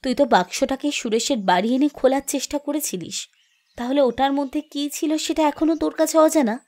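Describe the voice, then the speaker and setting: Actress as a determined young woman, high-pitched, horror drama. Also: fairly quick, darkish tone, natural voice. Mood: urging. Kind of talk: Bengali horror audio drama acting. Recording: music bed behind the voice.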